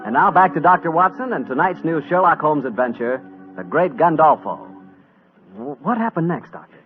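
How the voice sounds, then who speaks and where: A man announcing and pitching a sponsor on 1940s radio in a folksy, conversational way. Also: expressive, normal speed, soft background music. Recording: muffled vintage radio recording.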